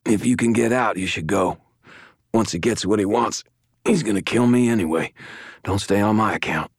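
A man voicing a wounded male captive in an audiobook, gruff and resigned, terse and breathless with pain. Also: lowish voice, normal speed, no room sound, studio quality, voice pushed up. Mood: gruff resignation. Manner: mumbling, terse. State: in pain, panting. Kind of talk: audiobook character voice.

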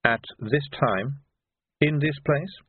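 A man's voice: mid-range voice, big pitch swings, husky, no room sound, phone-quality sound, voice pushed up. Man acting a composed, formal aristocratic ruler. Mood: smooth, ironic. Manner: measured, formal, understated. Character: composed, coolly formal, subtly ironic aristocratic male ruler.

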